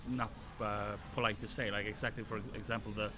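Halting man, noisy background. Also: fairly low pitch, level tone. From Estonia. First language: Estonian. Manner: halting, searching for words. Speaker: comedian. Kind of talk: casual podcast chat.

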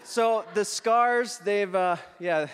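A man's voice: high voice, slow pace. A man in his thirties who grew up in the Philippines, a guest preacher telling his story in an upbeat, joking way to the congregation. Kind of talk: sermon with personal story. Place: church hall. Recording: long church hall reverb.

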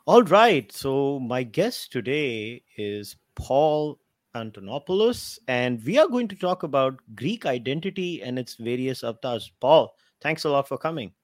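A man's voice: mid-range voice, sing-song, a little slow, no echo. A man hosting a podcast interview, chatting casually with restarts and small laughs. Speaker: podcast host.

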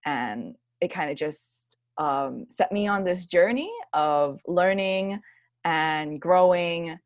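Young woman from hawaii, lowish voice, dry close sound, friendly, video call guest. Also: slow pace, dry recording. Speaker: guest, graduate student. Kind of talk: casual interview over video call.

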